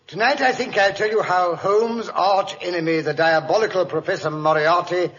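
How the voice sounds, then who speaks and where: An actor playing a genial, fussy older English gentleman who tells stories in 1940s radio style with a British accent. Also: high-pitched, normal speed. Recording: muffled, noisy vintage radio recording.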